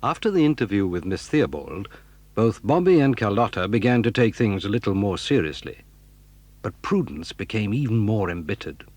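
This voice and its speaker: A man narrating a dramatised children's story in a calm storytelling manner. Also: fairly low pitch, dry recording. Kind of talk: scripted narration.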